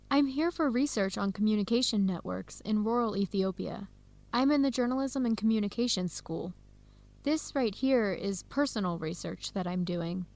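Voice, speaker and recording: Woman playing a friendly young American student, lightly high-voiced. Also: natural voice. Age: young adult. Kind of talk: educational radio drama acting.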